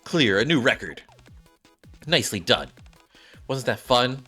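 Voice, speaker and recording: A brash young gamer girl from a video game, read out by a man, with a bright, forceful tone. The delivery is teasing, excitable and opinionated, over background music. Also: mid-range voice, measured pace, slightly rough, heavily acted. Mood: excited, emphatic.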